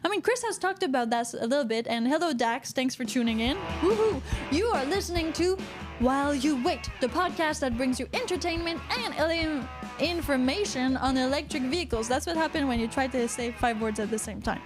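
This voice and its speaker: A woman, co-hosting a casual podcast, chatty and quick to laugh while reading out viewer comments. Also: high-pitched, animated, over music. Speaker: podcast co-host. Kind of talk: casual livestream podcast chat. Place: Vancouver, Canada.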